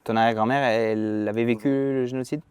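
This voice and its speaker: A man's voice: mid-range voice, fairly even intonation, fast, darkish tone, clean tone, no room sound. A man hosting a casual podcast interview outdoors, asking long rambling questions with restarts. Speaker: podcast host.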